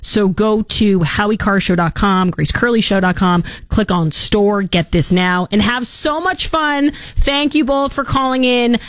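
A woman's voice: medium pitch, unhurried, hardly any pauses, no room sound, studio quality. A woman hosting a talk radio show, upbeat and promotional, interviewing a caller. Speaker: radio host.

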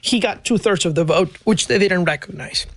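A man in his thirties from Venezuela speaks as an interview guest, his voice pitched fairly high for a man. He explains his points earnestly and steadily. The recording is close and dry. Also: moderate pace, a bit bright, no room sound. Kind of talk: interview answers.

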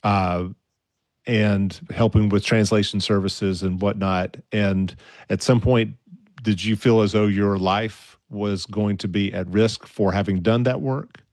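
Low-voiced male radio host, dry studio. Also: steady tone, measured pace, no room sound. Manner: warm, encouraging, with hesitant restarts. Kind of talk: interviewing a guest.

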